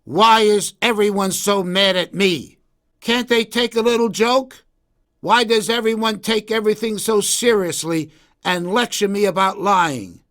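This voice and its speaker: A man's voice: high voice, unhurried, a bit bright, pitched above the performer's own voice. A man voicing a mischievous, emotional shepherd boy in an exaggerated storybook style. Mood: whining, indignant. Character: mischievous shepherd boy, giggly, whiny, panicky, later remorseful.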